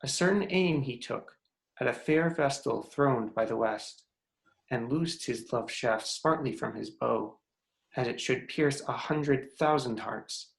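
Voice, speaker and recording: A man's voice: medium pitch, a little slow, creaky. A man playing a jealous, commanding fairy king in a theatrical, declamatory reading of Shakespearean verse. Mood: storytelling, commanding. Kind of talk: Shakespearean verse table read. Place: remote video call reading.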